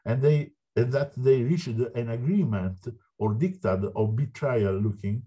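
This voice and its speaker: A man's voice: medium pitch, dark tone, breathy edge, highs cut off. Italian man reading a formal speech. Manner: formal, slightly halting reading. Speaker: board member. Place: indoor office with wooden wall. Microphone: video call.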